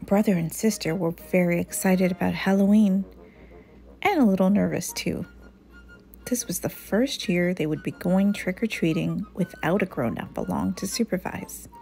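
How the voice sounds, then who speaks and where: A woman reading a children's picture book aloud in a deliberate, animated storytelling manner. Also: lowish voice, gravelly, soft background music. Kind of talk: expressive read-aloud narration.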